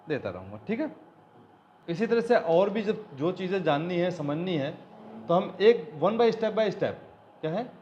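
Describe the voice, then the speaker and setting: A man teaching a hands-on electronics repair lesson, explaining step by step and asking and answering his own questions. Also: high voice. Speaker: automotive electronics trainer. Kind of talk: hands-on technical tutorial. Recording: slightly dull recording, faint background noise.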